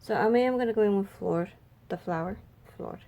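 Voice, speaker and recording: A woman makeup vlogger chatting casually and thinking aloud, her voice a little raspy and stuffy from a cold. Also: medium pitch, brisk, lo-fi sound. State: slightly raspy, congested from a cold. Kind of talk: casual makeup swatch review chat.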